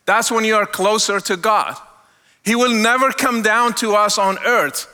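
Bright, high-pitched man preaching. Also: fairly even intonation. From Morocco. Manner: earnest, slows down to stress key words. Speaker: guest speaker. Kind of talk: testimony sermon. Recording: long hall reverb.

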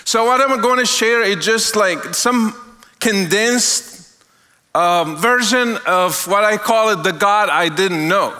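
A high-voiced middle-aged man delivers an earnest sermon. His tone is bright and forward, and he lingers on key words for emphasis. A long reverb trails his voice across a large hall. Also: slow pace. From Morocco. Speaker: guest speaker. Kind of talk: testimony sermon.

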